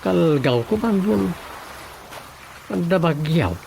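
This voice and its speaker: A man's voice: sits high, lively intonation, slow, vocal fry, darkish tone, slight background noise, heavily acted, poor audio. An actor with an Ilocano accent playing a musing man who mutters to himself in a radio drama comedy. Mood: musing, concerned.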